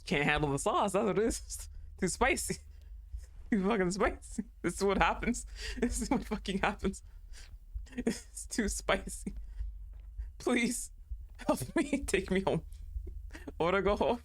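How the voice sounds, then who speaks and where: A woman's voice: mid-range voice, animated, unhurried, long pauses. A young woman streaming a game, talking casually, laughing often and swearing freely.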